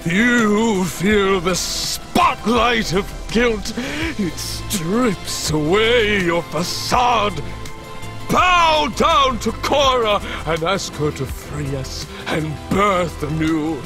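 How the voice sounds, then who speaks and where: A man's voice: high-pitched, sing-song, extremely slow, over music, exaggerated voice. A man playing a crazed street doomsday preacher in a video game, declaiming theatrically like a fire-and-brimstone zealot. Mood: fanatical condemnation. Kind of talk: ranting sermon, game acting.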